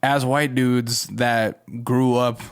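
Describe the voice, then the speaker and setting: A man hosting a casual podcast interview, easygoing and swearing freely, with quick yeahs and asides. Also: mid-range voice, little pitch movement, smooth. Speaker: podcast host.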